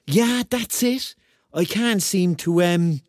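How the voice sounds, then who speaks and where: An actor playing a rambling, excitable Cork man in his thirties, telling his story in a colloquial radio drama style. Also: high-pitched, takes it slowly, no room sound, clean studio sound. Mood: bewildered, eager. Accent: Cork Irish. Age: 30s. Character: rambling, excitable thirty-year-old Corkman on a surreal night out. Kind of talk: radio drama acting, first-person narration and dialogue.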